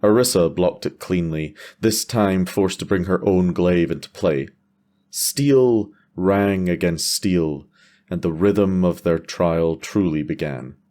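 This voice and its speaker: A male narrator reads a scripted fantasy tale. His voice is very low and mellow, and he takes his time, measuring out short phrases with dramatic pauses.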